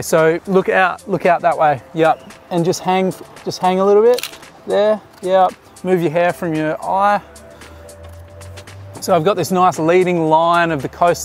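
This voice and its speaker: A man in his thirties, a photographer, casually explaining his shots while giving directions to a model outdoors. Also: high-pitched. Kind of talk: casual behind-the-scenes commentary. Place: outdoors on a beach jetty.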